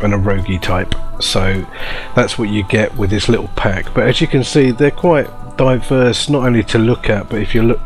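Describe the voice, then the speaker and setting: Man, lowish voice, informal chatty video host, casual mod showcase, music behind.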